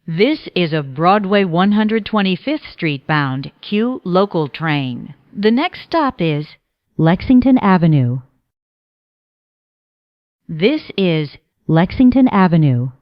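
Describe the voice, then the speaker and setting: A woman reading scripted train announcements in a formal, measured, segmented way as a transit announcer. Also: fairly low pitch, big pitch swings, no echo, muffled recording.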